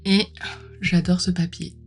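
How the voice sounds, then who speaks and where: A woman's voice: on the low side, little pitch movement, measured pace, husky. A woman who is a little under the weather, chatting informally and enthusiastically as she hosts an unboxing. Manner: gushing, informal, exclaims often. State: mildly ill, clearing throat. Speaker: host of a tarot unboxing channel. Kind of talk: casual unboxing commentary. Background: music.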